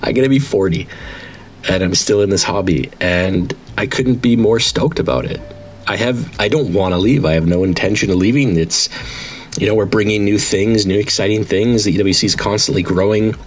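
A man's voice: lowish voice, normal speed. A man in his late thirties, talking casually and enthusiastically as the founder of an online wrestling league, rambling with frequent restarts. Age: 30s. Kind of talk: casual monologue to an audience.

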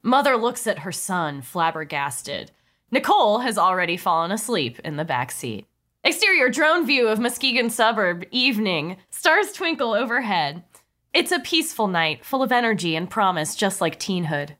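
A woman's voice: medium pitch, big pitch swings, moderate pace, fairly bright tone. A woman reading stage directions in an amused way as the narrator of a comedic script table read.

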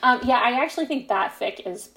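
A woman's voice: on the high side, expressive, brisk, bright, forceful tone. A woman speaking as a guest author in a casual interview, explaining her writing in a reflective, conversational way. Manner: reflective, explains at length with frequent "you know" and "like".

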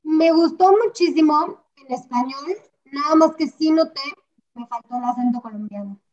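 A woman's voice: high-pitched, normal speed, a little mellow. A woman from Mexico in a casual film chat, talking chattily and hedging with fillers. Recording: slightly dull, lo-fi sound.

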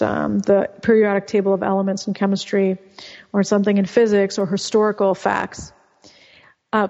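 A woman's voice: medium pitch, gravelly, a little mellow. Chatty woman on a muffled, reverberant line. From California. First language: Russian. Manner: chatty, explanatory, with frequent restarts. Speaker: guest, author. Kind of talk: casual interview talk.

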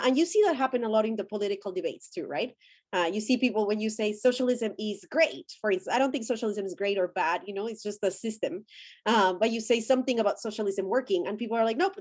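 A woman's voice: medium pitch, darkish tone, husky. A woman from Colombia with a Colombian Spanish accent, a native Spanish speaker, talking earnestly as a podcast guest and explaining ideas with quoted inner voices. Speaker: podcast guest, ordained minister. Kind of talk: conversational interview.

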